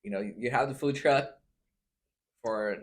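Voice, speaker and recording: A man's voice: medium pitch, a little slow. A man hosting a casual interview, asking his questions in an informal, loosely worded way. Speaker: interviewer, host. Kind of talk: casual food-tasting interview.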